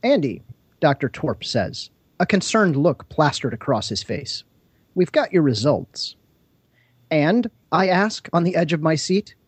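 Husky man doing a comic dramatic reading. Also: fairly high pitch, big pitch swings, unhurried, dry recording. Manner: reads with frequent laughs and a mock French doctor voice. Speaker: podcast co-host reading aloud.

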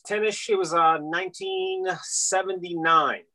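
A man's voice: high voice, very animated, unhurried, vocal fry, sharp tone. A man in his fifties from Iran, a podcast guest rambling casually with many fillers. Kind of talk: casual interview chat.

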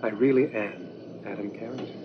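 An actor playing a smooth, scheming, brazen young heir in a 1980s television soap drama. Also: male voice, fairly low pitch, brisk, background noise, natural voice. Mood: quiet, insinuating. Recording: muffled TV soap clip under music.

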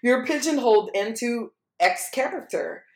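A woman in casual podcast conversation, talking emphatically and quickly as she argues a point. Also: on the high side, animated, echoey room.

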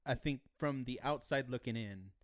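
A man's voice: mid-range voice, moderate pace, no room sound, clean studio sound. A man hosting a casual car podcast, asking questions in a conversational, teasing way. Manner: conversational, teasing, frequent agreement. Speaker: podcast host.

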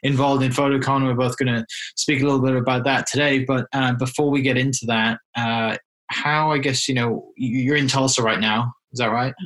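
A man hosting a podcast, asking relaxed questions with plenty of fillers. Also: medium pitch, a little fast, low-quality recording. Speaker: podcast host. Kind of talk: casual interview hosting.

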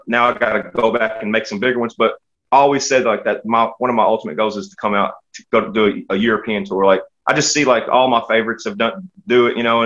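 A man's voice: medium pitch, a bit bright. A man from the United States chatting casually as a musician guest, talking in a rambling, folksy, stop-start way over dull, lo-fi audio. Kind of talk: casual chat.

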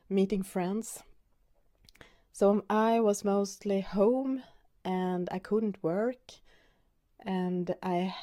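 A woman in her forties from Sweden, speaking English with a Swedish accent as a podcast host, talking in an unhurried way with hesitant fillers and repeated words. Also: mid-range voice, mellow. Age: 40s. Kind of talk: casual personal introduction.

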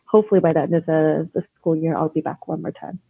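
A woman from Canada talks through her work as an educator in an earnest, thoughtful way, often restarting her sentences. Her voice is husky, and it comes over a thin, phone-like line. The sound is dry, with no room echo. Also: low voice, steady tone, fast. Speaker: educator and guest. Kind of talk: conversational interview.

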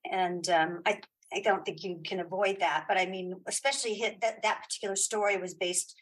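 A middle-aged woman chatting casually as an interview guest, giving opinions in halting, repeated phrases. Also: mid-range voice, steady tone, fairly bright tone, breathy edge, rough recording. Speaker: guest, playwright and audio drama creator.

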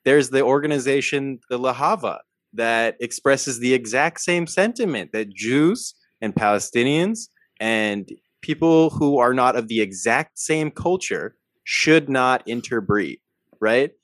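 A young adult man co-hosting a podcast, talking in an animated, emphatic way and often tagging points with "right?". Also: mid-range voice, measured pace, no echo. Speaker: podcast co-host. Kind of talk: casual political discussion.

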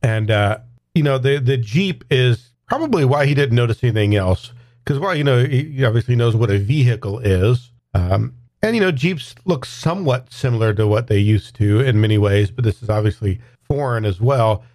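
A man's voice: mid-range voice, lively intonation, normal speed, darkish tone, no room sound, studio quality. A man playing a tabletop game, casually and thoughtfully explaining what his character does. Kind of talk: casual in-game description and chat.

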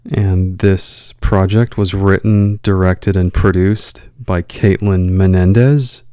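A man's voice: deep voice, warm tone, dry recording, clean studio sound. A man hosting a podcast, delivering a scripted introduction. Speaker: podcast host.

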